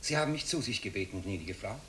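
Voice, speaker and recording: An actor playing a courteous, reserved but morally firm Polish man in a German radio drama, polite and measured. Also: lowish voice, lively intonation, a bit bright, slight background noise.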